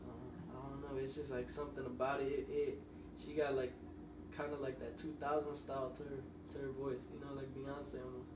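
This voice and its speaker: A man's voice: medium pitch, flat delivery, a little fast. A young man, a musician guest on a podcast, telling loose, rambling stories in casual conversation. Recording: roomy, distant mic with noise.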